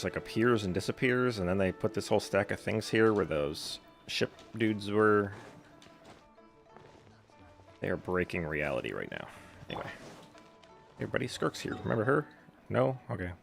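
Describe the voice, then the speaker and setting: A man streaming a video game in a low, gravelly voice. He chats casually and drops dry, sarcastic asides while faint music plays behind him. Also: very animated, moderate pace, pauses often. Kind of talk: casual live game commentary.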